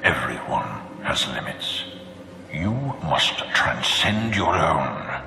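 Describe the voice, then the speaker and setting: An actor playing the solemn, commanding ghost of a fallen dark lord in a video game, speaking in grave, deliberate declamation. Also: male voice, sing-song, noisy background, exaggerated voice. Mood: grave, resolute. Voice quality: deep, gravelly. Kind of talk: dramatic video game acting. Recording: reverberant hall echo, music underneath.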